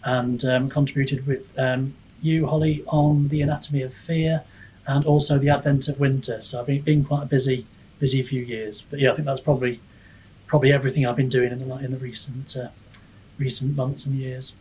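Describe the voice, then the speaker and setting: Male podcast guest, writer type, mid-pitched, conversational and modest, with audible noise behind the voice. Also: level tone, a little fast, darkish tone, poor audio. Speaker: guest author, fantasy writer and book reviewer. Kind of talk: casual podcast interview.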